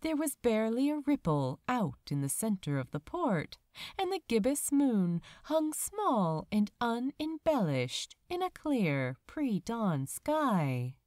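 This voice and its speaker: Woman, story narrator, measured pace, lively pitch movement, dry recording. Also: no room sound. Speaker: fan fiction narrator. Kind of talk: scripted audiobook-style narration.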